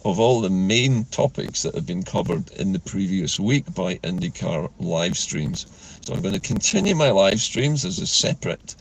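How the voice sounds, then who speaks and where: A man, hoarse from a chest infection, hosting a measured, earnest political vlog from inside his car. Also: low-pitched, big pitch swings, a little hiss or hum, low-quality recording. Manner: measured, earnest, with frequent fillers. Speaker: political vlogger host. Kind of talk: talking-head political commentary.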